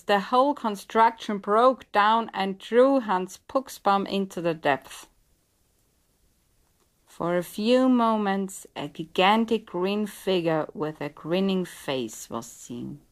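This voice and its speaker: A woman reading a scripted legend as a storyteller, in a measured, dramatic storybook style. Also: mid-range voice.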